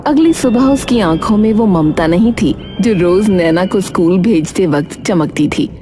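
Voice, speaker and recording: A woman's voice: on the low side, expressive, moderate pace, mellow, a little hiss or hum. A woman narrating a scripted children's story in a dramatic storytelling style.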